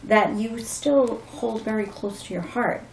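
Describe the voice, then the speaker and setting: A woman's voice: a little high, steady tone, a little slow. A woman hosting a talk show speaks conversationally as she interviews a guest. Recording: echoey room with faint background noise.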